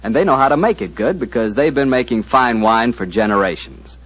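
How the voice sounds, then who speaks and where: A man working as a radio announcer, giving a smooth, persuasive sponsor pitch and credits in 1940s style. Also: animated, fairly quick, a bit bright. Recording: lo-fi 1940s radio broadcast with music.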